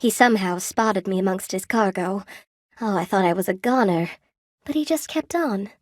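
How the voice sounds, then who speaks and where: A woman's voice: mid-range voice, normal speed, dry recording, studio quality, close to an everyday voice. An actress voicing a friendly, wry spider weaver woman in a video game, chatty and coy, with a folksy, lightly theatrical delivery. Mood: curious, friendly. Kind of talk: video game character dialogue. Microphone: close dry studio microphone.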